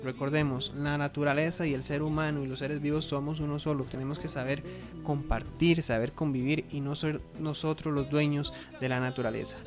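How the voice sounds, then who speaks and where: A man hosting a radio show with informal news commentary, earnest and emphatic, in halting phrases. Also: a little high, little pitch movement, over music.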